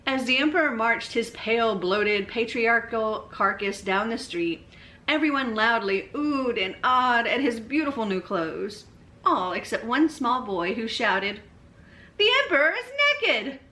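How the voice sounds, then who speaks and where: A woman reading a bedtime story aloud as storyteller, expressively, with deliberate, emphatic phrasing. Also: fairly high pitch, pushed and bright.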